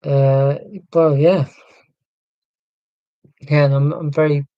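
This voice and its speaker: A middle-aged man with a neutral southern English accent, talking slowly and deliberately in a casual, reflective monologue. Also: on the high side, level tone, smooth, dry recording, lo-fi sound. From Scotland, raised in England. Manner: slow, deliberate speech with pauses. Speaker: vlogger.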